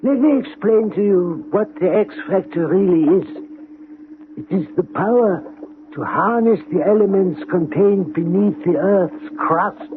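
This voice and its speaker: A zealous scientist professor, speaking in a high male voice with lofty, deliberate conviction. He orates in old radio drama style, coldly certain. The recording is thin, with clear background noise. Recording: noisy, narrow-band vintage radio recording.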